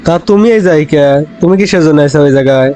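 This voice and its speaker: A man's voice: on the high side, quick pace, mellow, a little hiss or hum, close to an everyday voice. A man voicing a humble, philosophical, resigned young village man in a cartoon, in a rural Bangladeshi Bengali dialect. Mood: humble, matter-of-fact. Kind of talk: Bangla comedy cartoon dubbing.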